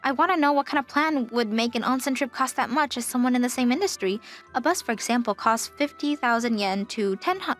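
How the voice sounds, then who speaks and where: A woman performs a young travel agent in a manga-style drama. She sounds kind and professional, courteous and composed with a gentle firmness. Soft music plays underneath. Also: a little high, animated, brisk, close to an everyday voice. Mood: probing, firm. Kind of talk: anime-style manga dub acting.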